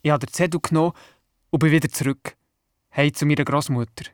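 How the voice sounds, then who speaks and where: A man's voice: on the high side, quick pace, clean tone, natural voice. An actor playing a curious, eager young grandson who tells his story earnestly in a children's radio play, with a Swiss German accent. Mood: eager, narrating. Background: music.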